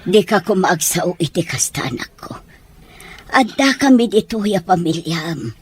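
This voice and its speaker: A woman playing a gentle, caring older mother in an audio drama, speaking in a tender, consoling way. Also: on the low side, animated, a little slow, breathy edge, exaggerated voice. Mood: tender concern.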